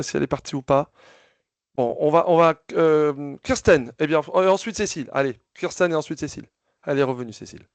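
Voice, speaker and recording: A man with a slightly higher voice and a gravelly, creaky edge, speaking over a video call. He hosts a casual briefing, circling back with fillers and restarted phrases. The sound is dry and close. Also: no echo. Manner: hesitant, many fillers and restarts. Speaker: association host of a video call. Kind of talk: casual spoken briefing, moderating questions.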